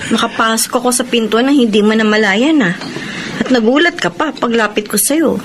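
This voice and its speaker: A woman's voice: fairly high pitch, fairly quick, close to an everyday voice. An actress with a Filipino accent playing a doting, nagging wife in a radio drama, insistent with her reminders. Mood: teasing, doting. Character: doting, nagging wife who fusses over her husband. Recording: faint noise and ambience behind.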